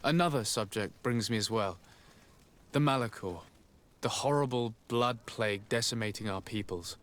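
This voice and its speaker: A man's voice: mid-range voice, normal speed, a bit bright, lightly acted. An actor playing a composed young nobleman diplomat in a video game, speaking in a polite, formal, articulate way. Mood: courteous, composed.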